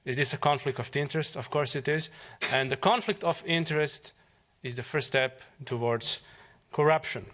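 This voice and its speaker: Man, Croatian accent, press conference speaker, bright forceful tone, halting delivery with restarts. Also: a little high. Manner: halting, with fillers and restarts and short laughs. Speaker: member of parliament.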